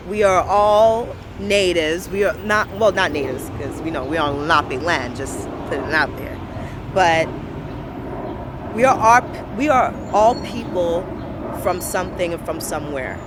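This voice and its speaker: A young woman from Brooklyn, speaking earnestly and passionately about her community in a personal interview, repeating words for emphasis. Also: on the low side. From Brooklyn, New York, USA. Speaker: interviewee, local business owner. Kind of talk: personal reflective testimony. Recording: street recording with traffic noise.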